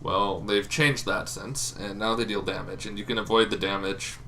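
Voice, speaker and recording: A young man with a lowish voice and a crisp, forward tone talks through tabletop game lore in a loose, rambling way, full of ums and false starts. A faint hiss sits under the recording. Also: normal speed. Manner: relaxed, rambling, many ums and restarts. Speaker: tabletop game video host. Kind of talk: casual explainer talk.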